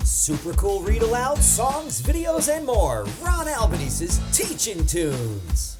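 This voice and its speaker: Man, children's read-aloud host, very animated, exclamatory, unhurried, drawn-out emphasis. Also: high-pitched, over loud music.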